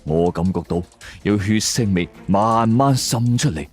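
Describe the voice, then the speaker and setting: Male storyteller, low pitch, animated dramatic suspense narration, music underneath. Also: unhurried, breathy edge.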